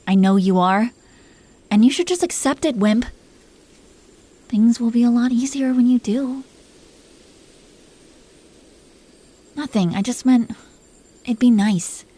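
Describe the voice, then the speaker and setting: A woman playing a possessive, protective dragon queen in an intimate roleplay audio drama, teasing and coaxing the listener in soft tones that can drop toward a whisper. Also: medium pitch, close to an everyday voice. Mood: possessive, tender.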